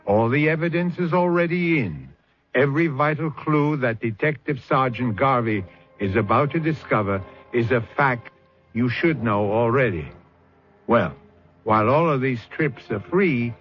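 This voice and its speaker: Man as an ominous, highly expressive mystery host. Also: natural voice. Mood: ominous, knowing. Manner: deliberate, sardonic, dramatic pauses. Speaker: host and narrator. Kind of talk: theatrical suspense radio narration. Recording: dull old radio recording. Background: faint music.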